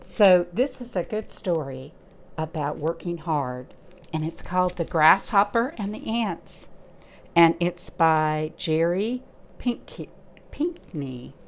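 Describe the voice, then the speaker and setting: Slow, very animated woman storyteller for children. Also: lowish voice. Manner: encouraging, asking simple questions. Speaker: library storytime reader. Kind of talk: read-aloud and chat for young children.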